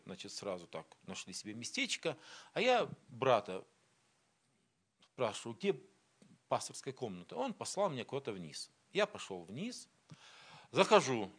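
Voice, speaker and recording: A middle-aged man from Siberia, a preacher telling a personal story in a sermon and acting out the dialogue. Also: mid-range voice. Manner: storytelling, quoting dialogue, grows animated.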